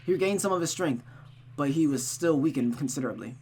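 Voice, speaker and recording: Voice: mid-range voice, expressive, fast talker, darkish tone. A narrator reading a visual novel aloud in a dramatic storytelling manner, as a streamer does. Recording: noticeable background hum and noise.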